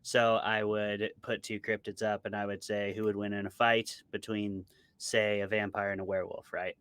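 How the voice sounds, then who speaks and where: A man with a fairly low, very bright voice gives a casual interview as an author. He explains things conversationally, and his sound is crisp and dry. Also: little pitch movement, moderate pace, dry recording. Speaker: interviewed author, podcast co-host.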